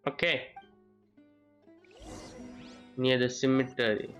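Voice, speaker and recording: Guy streaming a game, slow halting talk, soft music behind. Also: medium pitch, little pitch movement, muffled recording. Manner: halting, searching for words. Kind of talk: casual live gameplay commentary.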